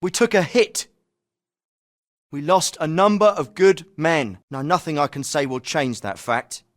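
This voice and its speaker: A man's voice: fairly high pitch, unhurried, natural voice. A man voicing an authoritative, composed special forces commander in a video game, giving scripted briefings in a clipped, formal manner. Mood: sober, determined. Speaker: game voice actor.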